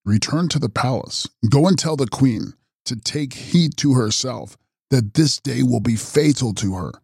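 A man voicing a mysterious, ageless male count who makes grave, measured prophecies in an audiobook. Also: medium pitch, expressive, vocal fry, a little mellow, slightly rough, no room sound, studio quality, exaggerated voice. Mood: foreboding. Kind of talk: audiobook character reading.

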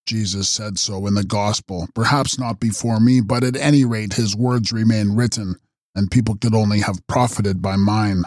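A man voicing a mysterious, ageless male count who makes grave, measured prophecies in an audiobook. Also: fairly low pitch, fairly even intonation, a little mellow, no room sound, clean studio sound, close to an everyday voice. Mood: foreboding. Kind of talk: audiobook character reading.

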